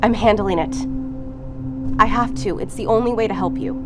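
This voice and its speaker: A woman's voice: medium pitch, moderate pace, over loud music, close to an everyday voice. A woman playing a curt, defensive, foul-mouthed FBI agent in an audio drama. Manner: curt, profane, irritable. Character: volatile, defensive, obsessive female FBI agent.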